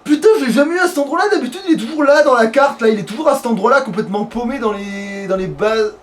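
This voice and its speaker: A man's voice: very high voice, expressive, fast, faint music behind. A man giving casual let's play commentary, muttering to himself in informal, mildly crude language. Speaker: let's player. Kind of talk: casual gameplay commentary with a friend. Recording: noisy amateur recording.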